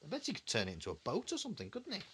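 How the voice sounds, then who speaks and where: A man's voice: on the high side, huge pitch swings, very fast, very noisy. A man reading a bedtime story to his young child in a conversational way, with questioning asides and dramatic repetition.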